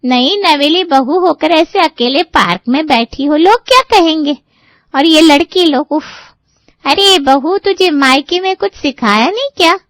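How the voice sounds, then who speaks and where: A woman voicing bossy, scolding elderly in-law women in a cartoon story, nagging with drawn-out, emphatic exclamations. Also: high voice, a bit bright, no echo, pitched above the performer's own voice. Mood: disapproving. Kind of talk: Hindi cartoon moral story voice acting.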